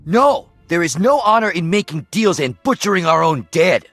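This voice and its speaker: A man's voice: sits high, very animated, normal speed, a bit bright, dry recording, soft background music, exaggerated voice. A man playing a proud, honor-bound alien warrior in a video game, formal, accusing and defiant. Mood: outraged.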